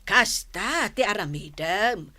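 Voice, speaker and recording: A woman's voice: mid-range voice, animated, slow, bright, forceful tone, no room sound, full character voice. An actress playing a motherly shopkeeper who gives patient, emphatic advice in a comic radio drama, with a Filipino accent. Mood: advising. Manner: advising, emphatic. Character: motherly woman shopkeeper giving patient counsel on keeping peace.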